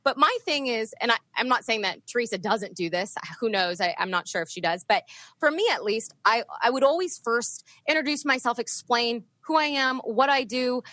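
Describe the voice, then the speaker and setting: Chatty, high-voiced woman on a dry mic. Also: normal speed, dry recording. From US East Coast. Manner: chatty, animated, laughs often, many fillers. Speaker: spirit medium guest. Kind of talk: casual podcast interview.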